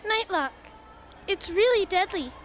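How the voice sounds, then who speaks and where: A woman's voice: extremely high pitch, animated, unhurried, slight background noise. A woman playing a sweet, clever little girl in a radio drama, earnest and matter-of-fact. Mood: eager, sweet. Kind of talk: hobby radio drama acting.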